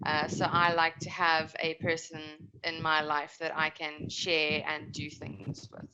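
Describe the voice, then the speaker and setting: Bright, expressive woman in her twenties. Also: low-pitched, a little slow, slight background noise, low-quality recording. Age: 20s. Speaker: panel guest. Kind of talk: casual group discussion.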